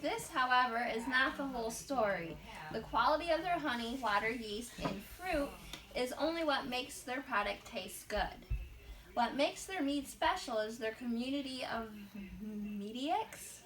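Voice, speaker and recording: A woman co-hosting a casual podcast, reading a short text aloud in a halting way. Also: high voice, expressive, a bit bright. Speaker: podcast co-host. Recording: roomy, distant mic.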